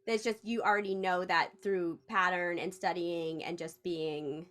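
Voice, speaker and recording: A woman hosting a casual podcast interview, asking questions and backing up her guest with quick affirmations. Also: on the low side, fairly even intonation, fairly bright tone. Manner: supportive, frequent affirmations. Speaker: podcast host.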